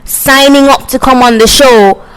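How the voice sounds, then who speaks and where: Young woman co-host, slightly high voice, animated recapper, giggles often. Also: measured pace, clear, resonant tone, poor audio. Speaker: podcast co-host. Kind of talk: casual chat.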